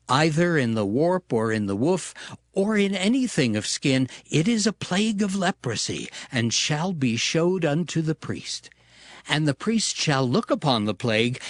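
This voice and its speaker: A man narrating scripture in a solemn, measured and formal way, as in a dramatized audio Bible. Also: medium pitch, no echo, studio quality.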